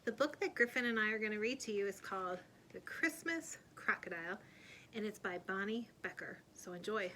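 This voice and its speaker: A woman reading a children's story aloud in an expressive, animated storytelling manner. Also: mid-range voice, brisk, slight background noise. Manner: animated, putting on character voices. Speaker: read-aloud storyteller, teacher.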